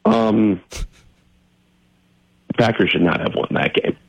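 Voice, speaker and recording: A man calling into a sports radio show on the phone, with a husky voice, rambling and halting. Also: on the low side, dull audio. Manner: rambling, halting, repeats himself. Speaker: caller. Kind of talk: casual call-in chat.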